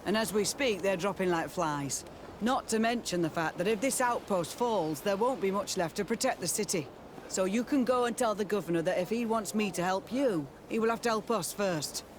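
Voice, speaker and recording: A man's voice: high-pitched, moderate pace, background noise, lightly acted. A man playing a weary, embittered military captain in a video game cutscene, blunt and sardonic, loyal to his soldiers. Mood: bitter, exasperated.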